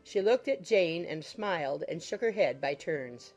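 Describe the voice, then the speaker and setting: Female audiobook narrator, lowish pitch, scripted literary storytelling, soft music in the background. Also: expressive, a little slow.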